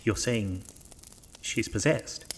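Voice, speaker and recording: Actor as a courteous, formal, self-doubting priest. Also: male voice, fairly low pitch, takes it slowly, a little hiss or hum. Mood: uneasy, doubtful. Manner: courteous, formal, slightly pompous. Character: polite, devout priest, hesitant and quietly self-doubting. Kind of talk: horror audio drama acting.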